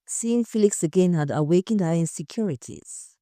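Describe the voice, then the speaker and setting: A woman's voice: lowish voice, lively intonation, normal speed, warm tone, no echo, clean studio sound. A woman narrating a scripted audio story.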